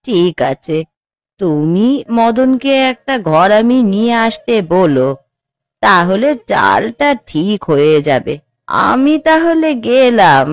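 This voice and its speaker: A woman's voice: medium pitch, big pitch swings, slow, no echo. A woman voicing a kindly village mother-in-law in a cartoon, reassuring and coaxing. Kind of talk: Bengali cartoon voice acting.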